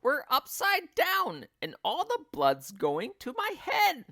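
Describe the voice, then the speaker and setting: A man's voice: very high-pitched, wildly swooping pitch, slow, pushed and bright, no echo, clean studio sound, pitched above the performer's own voice, exaggerated voice. A man voicing a nasty, hysterical wife from a children's storybook, screechy and scolding. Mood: panicked.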